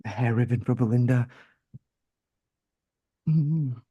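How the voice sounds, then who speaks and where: A man's voice: mid-range voice, slow, husky, no echo, lo-fi sound. A man playing a meek, kindly, put-upon clerk in an old-time radio drama, deferential and hesitant. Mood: absorbed, musing. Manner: deferential, hesitant, eager to please.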